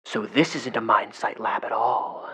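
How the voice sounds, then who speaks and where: A man's voice: lowish voice, fairly even intonation, a little slow, fairly bright tone, breathy edge, full character voice. An actor playing a casual, slangy young man, a reckless and stubbornly curious explorer in an audio drama.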